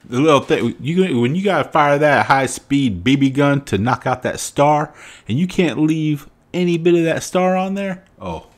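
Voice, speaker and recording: A man's voice: a little high, lively intonation, moderate pace. A middle-aged man with a Southern American accent, hosting a reaction video in casual commentary from a small home room on a studio mic, chatty and emphatic, laughing often. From Southern United States. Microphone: studio mic on arm, wearing headphones.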